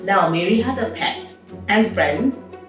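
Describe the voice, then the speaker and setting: A woman reading a story aloud to children as host, expressive and enthusiastic, with chatty asides and drawn-out emphasis. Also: mid-range voice. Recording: very echoey room, distant mic, music behind.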